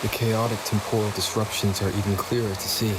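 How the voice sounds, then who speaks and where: A man's voice: on the low side, flat delivery, fairly quick, heavy background noise, lightly acted. A man playing an observant young adventurer in a video game, speaking in a matter-of-fact, inquisitive way. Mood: curious, observant. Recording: game music behind the voice. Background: game music.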